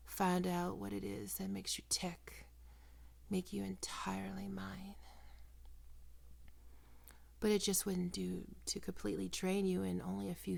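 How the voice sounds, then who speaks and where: A woman voicing a possessive, predatory vampire doctor in an ASMR roleplay, breathy and often whispering, coaxing her prey with condescending, sensual words. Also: medium pitch, a little mellow. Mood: hungry, intimate. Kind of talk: ASMR roleplay, intimate and slow.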